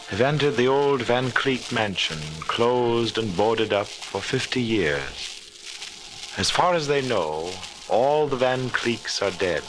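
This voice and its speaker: A man narrating a mystery in scripted 1940s radio style, with a dramatic, deliberate delivery. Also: fairly low pitch. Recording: noisy old 1940s radio recording.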